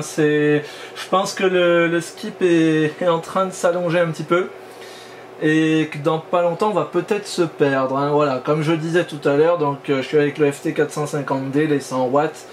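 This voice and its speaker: Higher-voiced man on ham radio, noisy background. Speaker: amateur radio operator. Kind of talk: casual ham radio exchange. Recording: clear background noise.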